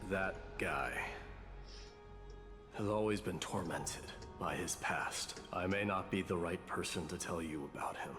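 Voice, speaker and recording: A proud, stern warrior lord in a video game. He speaks gravely and formally in a very deep voice, echoing in a long reverb over clear music. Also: unhurried. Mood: grave, courteous.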